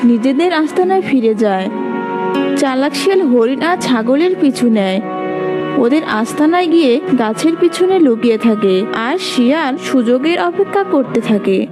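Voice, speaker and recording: Female storybook narrator for a cartoon: high voice, scripted delivery, music bed. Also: expressive, moderate pace.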